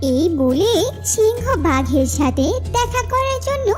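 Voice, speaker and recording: A woman's voice: extremely high pitch, sing-song, measured pace, over loud music, full character voice. A woman narrating a scripted animal fable for children as the storyteller. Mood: storytelling.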